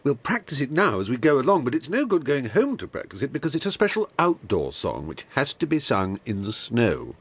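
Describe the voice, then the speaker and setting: A man's voice: mid-range voice, huge pitch swings, brisk, hardly any pauses, slightly rough, full character voice. A British man playing a simple, good-natured, musing toy bear in a dramatized children's audiobook, with a bumbling, singsong manner. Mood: earnest explaining.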